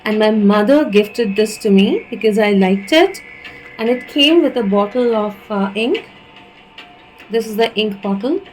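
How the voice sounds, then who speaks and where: A woman from India with an Indian accent, giving a tarot reading in a soft, hesitant way with many pauses and restarts. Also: medium pitch, expressive, faint music behind, poor audio. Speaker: tarot reader. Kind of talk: slow spiritual channeling talk.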